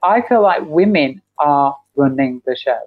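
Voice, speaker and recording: A woman's voice: low-pitched, animated, unhurried, no echo. A middle-aged woman talking candidly as a podcast guest, repeating phrases for emphasis, on a dull recording. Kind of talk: candid conversational interview. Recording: dull lo-fi, dry close sound.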